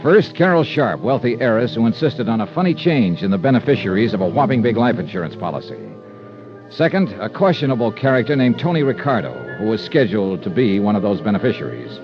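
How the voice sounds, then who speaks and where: An actor playing a wry, hard-boiled insurance investigator in 1950s radio detective style. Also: male voice, medium pitch, big pitch swings, music in the background, close to an everyday voice. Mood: brisk, businesslike. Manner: brisk, wry, clipped questioning. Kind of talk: 1950s radio detective acting with first-person narration. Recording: dull old radio recording.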